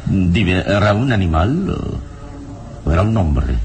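A man acting a calm, commanding adventurer hero in a radio drama, questioning in a measured way with dramatic pauses. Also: deep voice, slight background noise, full character voice. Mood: grave, probing. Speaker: hero and narrator.

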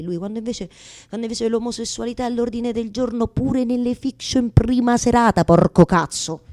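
A woman's voice: on the low side, mellow, close to an everyday voice. An actress performing a neurotic, lovelorn woman in a rambling, colloquial theatrical radio monologue addressed to God, full of repetitions and tag questions. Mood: sardonic. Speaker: stage actress in a solo monologue.

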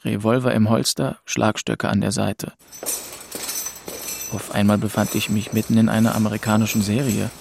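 A man's voice: fairly low pitch, little pitch movement, moderate pace, creaky, background noise. An actor playing a weary, bitter young man who narrates his own story in an audio drama. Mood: subdued narration. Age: young adult.

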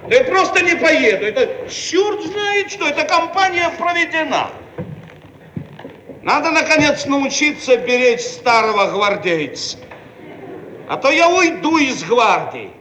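Pompous high-pitched Soviet bureaucrat, echoing hall. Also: male voice, big pitch swings, a little slow, sharp tone, heavily acted. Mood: indignant. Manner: pompous, imperious declaiming. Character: pompous, demanding male Soviet bureaucrat, indignant and self-important. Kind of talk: theatrical radio play acting. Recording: echoey noisy archival radio-play recording, distant.

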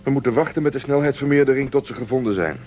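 An actor playing a serious, worried spaceship crew member in a Dutch radio drama, speaking in a measured way. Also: male voice, medium pitch, darkish tone, lo-fi sound, slight background noise, close to an everyday voice. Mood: grave, informing.

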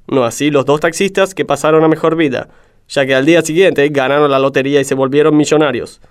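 An Argentine actor playing a dramatic narrator of a comic adventure serial. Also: male voice, a little high, steady tone, fast talker, clear, resonant tone, natural voice. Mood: mock-dramatic. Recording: loud music behind the voice.

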